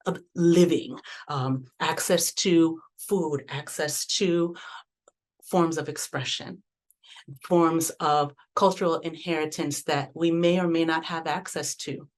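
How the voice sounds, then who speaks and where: A woman from the American South with a notably low, deep voice, speaking on a panel. She talks earnestly and reflectively, circling back and restarting phrases as she thinks aloud. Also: steady tone, takes it slowly. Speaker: panelist and community arts researcher. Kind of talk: panel discussion.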